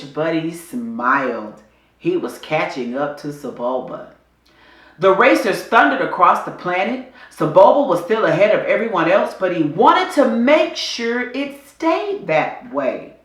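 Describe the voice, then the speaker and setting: Expressive woman reading a story, roomy sound. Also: mid-range voice, measured pace. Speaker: storybook reader. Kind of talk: dramatic scripted children's story narration.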